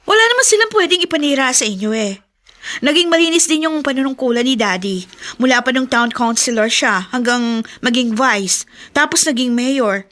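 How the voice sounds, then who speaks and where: Filipino radio drama daughter: confident, persuasive, pushy, youthful high pitch. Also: female voice, lively intonation, normal speed, fairly bright tone. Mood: coaxing, insistent. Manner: coaxing, insistent.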